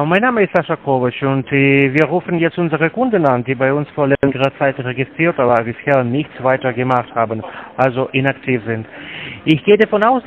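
Polite man on a dull phone line. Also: medium pitch. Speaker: call centre scam caller. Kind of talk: scripted phone sales pitch. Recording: dull telephone-like sound.

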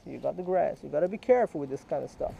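A man's voice: fairly high pitch, animated, racing, vocal fry, dark tone, a little hiss or hum. Man coaching a kid while fishing. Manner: patient, coaching, quizzing. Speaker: fishing vlogger. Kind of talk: casual teaching chat while fishing. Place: outdoors by a small creek in a park. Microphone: head-mounted action camera.

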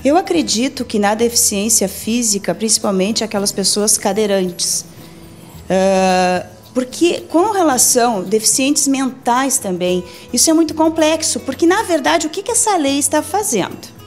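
A Brazilian woman in her forties answers interview questions as a lawyer, laying out rules step by step. Her voice sits fairly high. Faint music plays beneath the handheld-mic recording. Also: expressive, normal speed, a little mellow. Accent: Brazilian Portuguese. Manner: methodical, explains legal rules step by step. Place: office meeting room.

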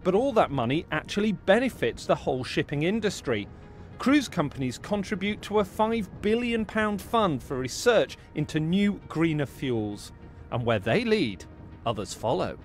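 A man narrating a news report as the reporter, inquisitive and lively. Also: a little high, moderate pace, faint music behind.

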